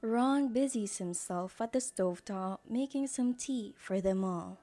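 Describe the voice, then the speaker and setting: A woman's voice: mid-range voice, a little slow, mellow. A woman narrating a scripted audio drama as its storyteller.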